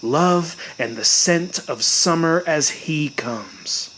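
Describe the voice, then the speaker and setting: A man narrating a horror story in a dramatic, deliberate, suspenseful way, with weighted pauses. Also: on the high side, expressive, pushed and bright, slight background noise. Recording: music bed behind the voice. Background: clearly audible music.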